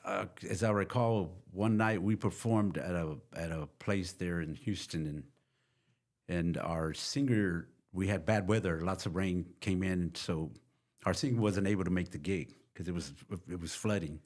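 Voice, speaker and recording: An older man from Texas, a guest musician, telling long rambling stories in a relaxed chat. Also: deep voice, moderate pace. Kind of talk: casual interview storytelling.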